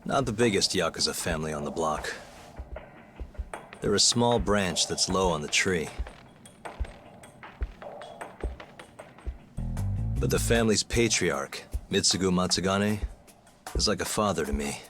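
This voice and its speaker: A young detective in a video game cutscene. He has a deep, low voice and a casual, wry delivery that stays cool and understated, with music underneath. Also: expressive, moderate pace. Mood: reflective. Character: cool, easygoing younger male detective close to yakuza. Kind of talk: English video game dub acting.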